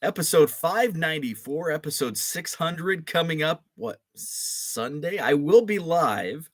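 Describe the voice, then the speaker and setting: A man's voice: a little high, lively intonation, measured pace, no room sound. Groggy guy around 40 rambling thru his live show, lots of uhs and ums, dry close sound. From Illinois. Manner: rambling, with many fillers and restarts. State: a bit groggy and deeper-voiced after a late night. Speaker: host. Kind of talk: casual live-show chat.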